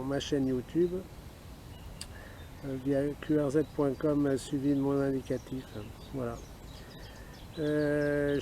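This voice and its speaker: A man with a fairly high, very mellow voice chats casually as he films a radio outing. He spells out call signs deliberately. He is outdoors, with faint natural background noise. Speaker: amateur radio operator filming an outing. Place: outdoors in a wooded park.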